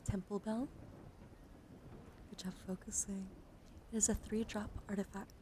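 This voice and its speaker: A woman streaming ASMR, reading aloud slowly and soothingly in a breathy whisper and soft murmur. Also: medium pitch, flat delivery, noisy background.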